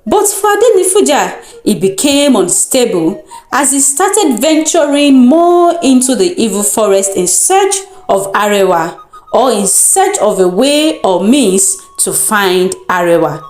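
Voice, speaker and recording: A woman with a Nigerian accent narrates a folktale as a storyteller, in a deliberate, dramatic and expressive manner. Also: on the high side. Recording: roomy echo with music underneath. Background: music.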